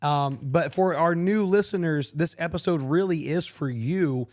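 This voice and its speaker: Florida man co-host, rough hoarse throat, dry comic delivery, higher register. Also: a little slow. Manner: deadpan joking, frequent throat clearing. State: hoarse, gravelly voice. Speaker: podcast co-host. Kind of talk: casual comedic chat.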